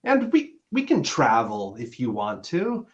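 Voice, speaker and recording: Man as an earnest boyfriend, very animated pitch. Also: unhurried. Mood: awkwardly upbeat. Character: earnest, awkward, conventional boyfriend. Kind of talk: dramatic script reading. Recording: dull, lo-fi sound.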